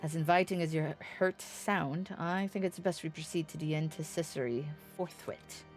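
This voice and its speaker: A woman voicing an earnest young nobleman diplomat from a fantasy game, with formal, measured, slightly pompous archaic speech. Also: low voice, soft background music, lightly acted. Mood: polite, formal.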